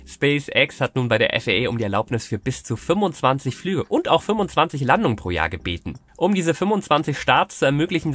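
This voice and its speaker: A man in his thirties with a cold, presenting space news in a clear, explanatory scripted style from a studio. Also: mid-range voice, fairly bright tone, no room sound. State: has a cold. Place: studio with rocket set backdrop.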